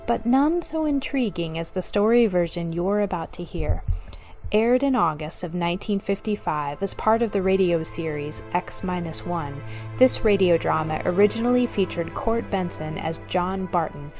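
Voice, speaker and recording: A woman's voice: lowish voice, animated, moderate pace, a little mellow. A woman reading a scripted introduction as the host of a radio drama show. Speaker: host and director. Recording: music under the voice.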